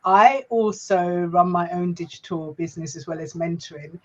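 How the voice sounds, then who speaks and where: A woman's voice: lowish voice, moderate pace. Effusive British-accented female co-host, lo-fi call audio. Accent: British English. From Ghana. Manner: warm, effusive, gushing.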